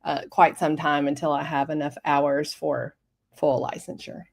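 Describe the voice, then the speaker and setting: A middle-aged woman guest talking over a video call from her home office, her voice scratchy from bronchitis, often pausing with fillers. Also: sits low, level tone, gravelly. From Tennessee. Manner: hesitant, many fillers. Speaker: guest, health coach.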